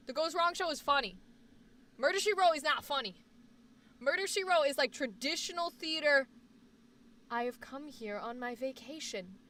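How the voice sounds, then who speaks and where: A woman's voice: high voice, bright, forceful tone, recorded from a distance. A woman hosting a casual livestream chat, talking in a rambling, emphatic, opinionated way, with a slight Boston accent. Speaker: livestream host.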